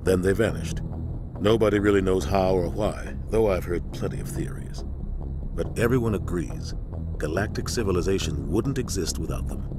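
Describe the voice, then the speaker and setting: Guy doing serious space navy captain from a game, real deep, steady and in charge, music behind. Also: moderate pace, lightly acted. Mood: reflective. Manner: firm, authoritative, briefing-like. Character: steady, authoritative male military starship captain, serious and earnest. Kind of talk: video game cutscene acting.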